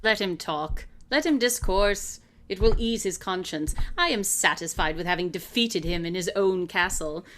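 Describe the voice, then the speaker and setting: An actress playing a brilliant, haughty woman detective in a radio drama, deliberate and drily amused. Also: lowish voice, fairly bright tone, slight background noise, natural voice. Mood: lofty satisfaction. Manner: deliberate, ironic, lofty. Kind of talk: live radio drama acting.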